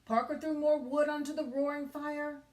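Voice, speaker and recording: A woman's voice: sits high, moderate pace. A woman teacher narrating a children's storybook aloud in an emphatic, dramatic way. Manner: emphatic, expressive.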